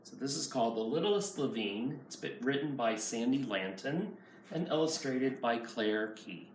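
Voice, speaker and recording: A man's voice: medium pitch, expressive, moderate pace. A man reading a children's picture book aloud as a nursery school teacher. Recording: roomy, far from mic, faint noise.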